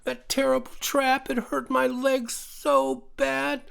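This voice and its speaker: A small woodland creature with a mouth full of jagged teeth whimpers and begs in a high, thin, panicky whine. The voice sits far above the teller's own and keeps a gravelly edge. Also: male voice, monotone, slow pace, fairly bright tone, heavily acted. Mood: pleading, frightened.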